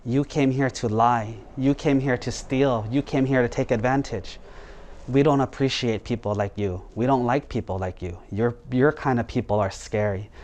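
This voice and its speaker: A man voicing a fair-minded female spirit of a lake in a storybook tale, measured and moralizing. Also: medium pitch, a little mellow, muffled recording, close to an everyday voice. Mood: stern.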